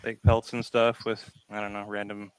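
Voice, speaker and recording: Band guest, man, smooth resonant tone, dry sound, laughing and halting. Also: lowish voice, monotone, no room sound, poor audio. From grew up on a reservation near the Canadian border. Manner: laughs often, halting with fillers. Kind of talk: casual group chat.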